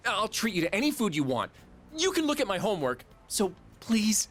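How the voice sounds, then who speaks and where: A goofy teenage schoolboy in a game, sheepish and rambling. His lively pitch bounces around casual slang, with light music behind him. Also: normal speed, exaggerated voice. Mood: pleading. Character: goofy, hapless teenage schoolboy slacker, pleading with a friend. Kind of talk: casual video game dialogue. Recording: music under the voice.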